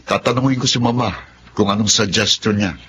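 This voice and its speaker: Hesitant, mild young husband played by an actor. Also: male voice, fairly low pitch, fairly even intonation, a little fast, a bit bright, natural voice. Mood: mildly defensive. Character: mild young husband, devoted mama's boy. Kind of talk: Filipino radio drama acting. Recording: dull, noisy radio drama recording.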